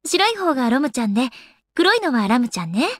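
An actress voicing an elegant, teasing young goddess in a Japanese video game, with refined, polite and slightly haughty manners. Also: female voice, high voice, sing-song, quick pace. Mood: polite, composed. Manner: polite, haughty ojou-sama refinement with playful coyness.

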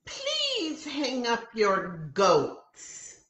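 A woman reading aloud and voicing a silly, cheerful female schoolteacher in a high sing-song voice. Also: wildly swooping pitch, drawn-out words, a bit bright, heavily acted. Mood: cheery. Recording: echoey room.

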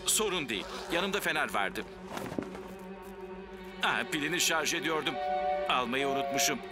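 An actor playing a friendly, jovial outdoorsman in a children's cartoon, very expressive. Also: on the high side, moderate pace, close to an everyday voice. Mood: earnest. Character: hearty outdoorsy Canadian man in children's cartoon. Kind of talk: animated children's cartoon dub. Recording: music under the dialogue.

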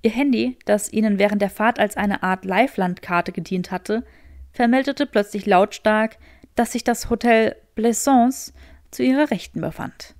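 A woman reading a story as an audiobook narrator, with expressive scripted delivery. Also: mid-range voice, normal speed, dry recording, clean studio sound.

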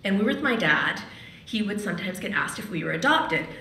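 A woman's voice: mid-range voice, lively intonation, a little fast, sharp tone, a little hiss or hum. A middle-aged Canadian woman, a radio host, telling a personal story at a podium in a large hall in a wry, conversational way. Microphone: podium mic from a distance. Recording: echoey hall, mic at a distance.

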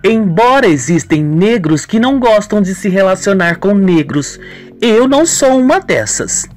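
A man's voice: sits high, very animated, a little slow, faint music behind, voice pushed up. A man voicing a scheming, ambitious young woman villain, coaxing, playful and mocking, in a radio soap. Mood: mocking, bossy.

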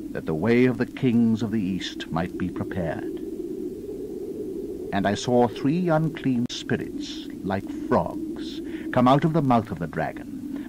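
A man giving a solemn, theatrical dramatized reading of scripture as a narrator, with deliberate emphasis. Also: medium pitch, noisy background. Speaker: Bible narrator.

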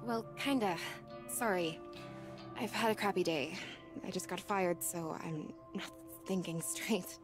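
A woman's voice: fairly low pitch, measured pace, lightly acted. A woman voicing a blunt, impatient young woman in a visual novel, with a curt and sarcastic manner. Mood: puzzled, weary. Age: young adult. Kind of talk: visual novel character reading. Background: background music.

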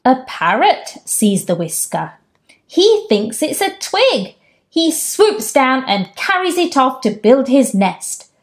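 A woman in her thirties reads a children's storybook aloud in a room at home. Her voice sits a little high and swoops widely as she tells the story gently, taking her time over every line. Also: slow. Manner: gentle, expressive storytelling with raised-pitch character quotes. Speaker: storytime host. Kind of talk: children's picture book read-aloud. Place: home room with bookshelves, indoors.

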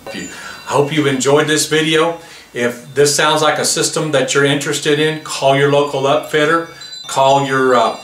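Male product presenter, 50s, forceful bright tone, recorded off-mic in a roomy space. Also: fairly high pitch, fairly even intonation, moderate pace. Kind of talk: product demonstration, explanatory. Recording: roomy, distant mic, faint background noise.

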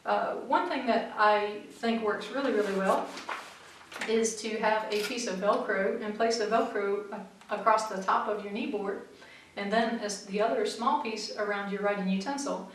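A middle-aged woman flight instructor, calmly explaining practical tips to camera in a methodical way. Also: mid-range voice, moderate pace, a little hiss or hum. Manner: clear, methodical, practical advice. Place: small studio room in front of a backdrop, seated. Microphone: far camera mic. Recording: very echoey room, mic far off.

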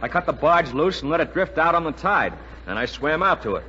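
A daring wartime airman in a 1940s radio play. He sounds bright, forceful and confident, a bit high in pitch, in a muffled old recording. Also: lively intonation, fairly quick, big-room sound, slight background noise. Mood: assured. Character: determined male airman back from a daring mission.

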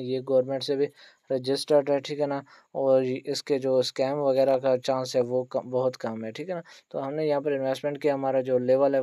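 A man gives a quick, step-by-step screen tutorial as a channel host. His pitch stays fairly flat and his tone is mellow, with frequent short check-in questions as he instructs. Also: no room sound. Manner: repetitive tag questions, instructional. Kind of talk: screen-recorded tutorial narration.

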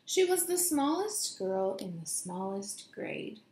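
A young woman reading a children's picture book aloud in a deliberate, expressive storytelling way, recorded in a room at home. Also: mid-range voice, slight background noise. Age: young adult. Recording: roomy home echo.

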